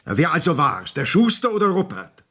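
Stern, husky-voiced court councillor. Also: male voice, on the high side, huge pitch swings, a little fast, fairly bright tone, full character voice. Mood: exasperated. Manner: pompous, formal, exasperated. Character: stern, impatient visiting court councillor, educated and formal. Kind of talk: 1970s radio play acting.

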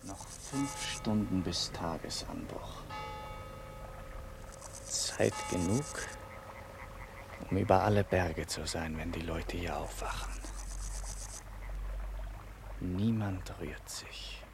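A man's voice: sits low, slow pace, long pauses, background noise. An actor in a German radio play voicing a tormented young man tempted to murder, in an intense inner monologue that moves between whispers and agitated outbursts. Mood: grimly resolved.

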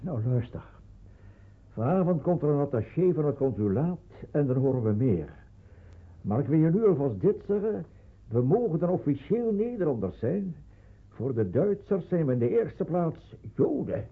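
A middle-aged actor playing a worried, stern father in a radio drama, with a sometimes halting delivery. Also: a little high, big pitch swings, breathy edge, heavily acted. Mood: worried, grave. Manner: halting, stammering in places. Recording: tinny band-limited old radio play.

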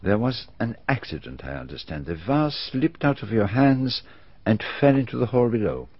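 An actor playing a fastidious detective, asking formal, polite questions in a radio drama. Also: male voice, medium pitch, big pitch swings, breathy edge, no echo. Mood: courteous. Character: fastidious middle-aged male detective, probing. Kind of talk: BBC radio drama acting. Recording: dull archival radio recording.

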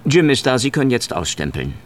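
An actor playing an officious, controlling store manager who talks in a clipped, patronizing way in a radio drama. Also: male voice, medium pitch, big pitch swings, brisk, a little hiss or hum, close to an everyday voice. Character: officious male store manager, middle-aged, petty. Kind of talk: German radio drama acting.

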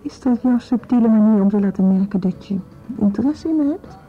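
An actress playing a frank, quick-witted young activist woman who talks in a direct, teasing way in a radio drama. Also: a little high, breathy edge, highs cut off, soft background music. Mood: wry. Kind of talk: naturalistic Dutch radio drama dialogue.

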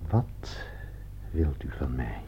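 An actor playing a nervous, easily offended addict in a radio play, hesitant and stammering with wariness. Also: male voice, extremely low pitch, very animated, slow pace, creaky, breathy edge, heavily acted. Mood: suspicious, uneasy. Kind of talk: Dutch radio play acting. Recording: muffled, noisy old radio play recording.

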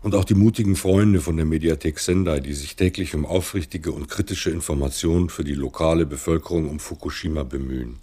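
A man narrating a radio play as a concerned islander reporting on life after a nuclear disaster, reflective and husky. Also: a bass voice, brisk, hardly any pauses, warm tone. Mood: sober, wry.

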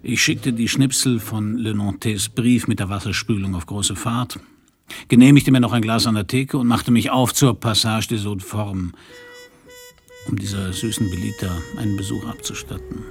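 Low-voiced, laconic noir detective. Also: male voice, big pitch swings, normal speed, vocal fry, slightly rough, exaggerated voice. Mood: sardonic narrating. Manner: laconic, dry, slangy. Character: hard-boiled, sardonic male Parisian private detective narrator. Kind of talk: noir radio play narration and dialogue. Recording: radio play, some background noise.

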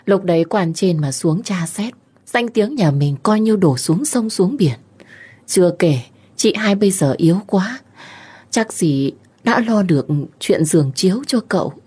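Man doing sly young wife, high put-on voice, fake sweet, breathy edge; storybook villainess. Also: lively intonation, unhurried, a little mellow, heavily acted. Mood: coaxing. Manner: shrill, theatrically fake concern. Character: scheming young woman, sly and falsely sweet. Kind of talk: storybook reading.

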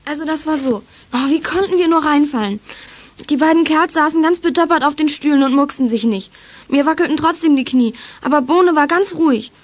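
Actress as a high-voiced, earnest young girl. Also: a little fast, natural voice. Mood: recounting, shaken. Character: excitable young girl detective, earnest and chatty. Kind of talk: German children's radio play acting.

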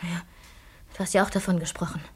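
An actress in a German radio play playing an anguished, guilt-ridden newlywed widow, speaking haltingly and repeating words when agitated. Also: female voice, fairly low pitch, steady tone, breathy edge. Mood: nervous, evasive.

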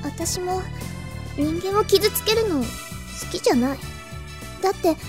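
A woman's voice: very high voice, expressive, measured pace, over music. A woman voicing a kind, naive young catgirl in a Japanese anime-style audio drama, with a cutesy girlish voice. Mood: wistful. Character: cheerful, kind young catgirl of the dark clan.

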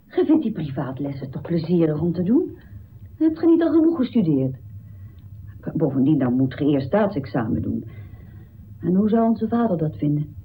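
Doubting young sister, animated pitch. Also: female voice, moderate pace, pauses often, slight background noise, poor audio. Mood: sceptical, dissuading. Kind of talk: radio play acting.

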